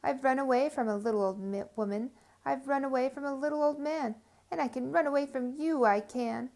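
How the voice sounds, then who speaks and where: Storybook gingerbread boy played by a woman: small, gleeful, cocky, high voice, sing-song taunting. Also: a little fast, a little mellow. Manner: sing-song boastful chanting.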